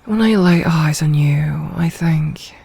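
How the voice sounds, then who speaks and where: Slow-talking commanding werewolf woman, played by an actress. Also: low voice, creaky, dark tone, husky, heavily acted. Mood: hushed, intimate. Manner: slow, deliberate, formal phrasing. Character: possessive, commanding female werewolf pack leader, devoted to her mate. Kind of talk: ASMR audio roleplay.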